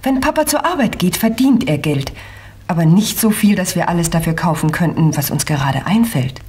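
A busy but patient mother in a children's audio drama. Her voice is breathy and husky, with very varied intonation as she gently explains. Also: fairly low pitch, lively intonation, moderate pace. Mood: explaining. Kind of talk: children's radio play acting.

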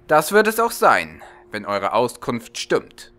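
A man's voice: medium pitch, wildly swooping pitch, takes it slowly, fairly bright tone, full character voice. A man voicing a shrewd, self-assured living god who leads an army, in a fantasy audiobook. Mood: amused. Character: shrewd, amused living god and war leader.

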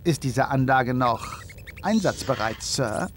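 Guy doing a little robot buddy from a game, polite n precise, pitch moves around, noisy game audio. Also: lively intonation, unhurried, lightly acted. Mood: polite, earnest. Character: small polite, earnest robot sidekick. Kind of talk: video game cutscene dubbing.

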